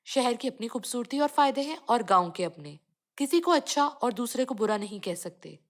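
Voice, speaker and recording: A woman voicing a moralizing village constable in a children's cartoon story, speaking in a measured, preachy manner. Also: fairly high pitch, animated, natural voice. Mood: advising.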